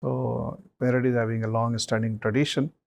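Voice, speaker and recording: A man, a mock interview panelist giving measured advice with many pauses and fillers. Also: mid-range voice, flat delivery, creaky, darkish tone.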